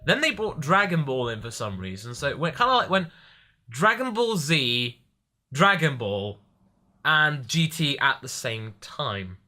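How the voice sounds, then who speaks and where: A man's voice: a little high, big pitch swings, a little slow, pushed and bright. A man speaking expressively as a vlogger answering viewer questions, stressing and stretching words for emphasis. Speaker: vlogger and anime parody voice actor.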